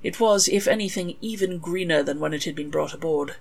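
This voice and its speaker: A woman reads fiction aloud as a storyteller, her voice sitting a little on the low side. Her delivery is expressive and wry, clearly scripted narration. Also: fairly quick. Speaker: author reading own fan fiction.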